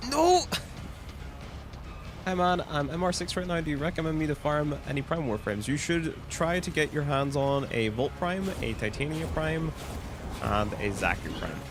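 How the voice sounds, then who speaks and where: A man's voice: a little high, sing-song, moderate pace, over music. A man from Northern Ireland with a Northern Irish accent, chatting casually while streaming a game and answering chat.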